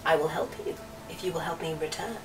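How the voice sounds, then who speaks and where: A woman voicing a small male talking frog in a storybook, croaky, eager and pleading. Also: low-pitched, fairly quick, reverberant, noisy background, pitched below the performer's own voice, natural voice. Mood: reassuring. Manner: pleading, insistent. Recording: distant mic, music behind the voice.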